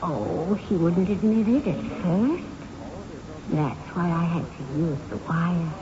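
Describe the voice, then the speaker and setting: Slow, uncanny reptilian creature. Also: female voice, on the low side, expressive. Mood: insinuating. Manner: slow, deliberate, insinuating. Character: uncanny hypnotic female saurian creature, calm and probing. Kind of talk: radio drama acting. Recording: noisy, muffled old radio recording.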